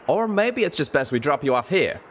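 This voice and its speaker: Man as folksy male pony flier, drawl, blunt, combative, lively pitch, slight noise. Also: a little fast. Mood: menacing. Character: folksy, blunt stallion pilot with a fighting temper.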